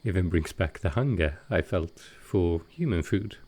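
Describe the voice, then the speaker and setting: A man playing a gentle, wistful ancient vampire in an intimate audio roleplay, soft-spoken and hesitant with halting pauses. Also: deep voice, lively intonation. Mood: gently teasing.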